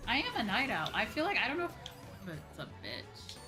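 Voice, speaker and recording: A woman's voice: fairly high pitch, a little fast. A young woman chatting casually and playfully with viewers on a livestream as a game streamer. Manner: animated, playful, conversational. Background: music.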